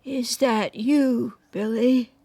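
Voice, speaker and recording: A woman's voice: fairly high pitch, steady tone, drawn-out words, creaky, mellow, no room sound, heavily acted. An actress playing a decrepit, confused elderly mother who speaks in faltering, broken phrases in a radio drama. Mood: frail, bewildered. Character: decrepit, confused old mother, frail and fading.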